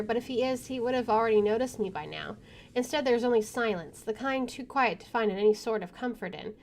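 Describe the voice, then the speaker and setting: A young woman hosting a game channel, reading a visual novel aloud and breaking into jumpy, chatty reactions. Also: on the high side. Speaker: gaming channel host.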